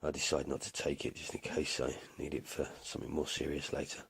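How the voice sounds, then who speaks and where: Weary survivor, husky, flat delivery, subdued, audio drama journal. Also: male voice, very deep voice, fairly quick, heavily acted. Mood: ill, weary. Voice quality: gravelly, husky. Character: weary male English survivor Isaac keeping an apocalypse journal. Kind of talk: audio drama first-person journal narration.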